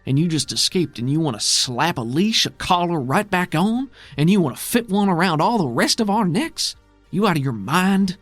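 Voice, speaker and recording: A man playing a bitter, worried, steadfast man defending his underground city in a tabletop game, with gruff folksy speech. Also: sits high, sing-song, normal speed, fairly bright tone, no room sound, faint music behind, voice pushed up, full character voice. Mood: angry, accusing.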